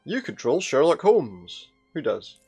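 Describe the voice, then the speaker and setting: A man's voice: fairly high pitch, big pitch swings, measured pace, a little mellow, husky, faint music behind. A British man commenting on a game, reading the on-screen text aloud with sarcastic, sweary asides.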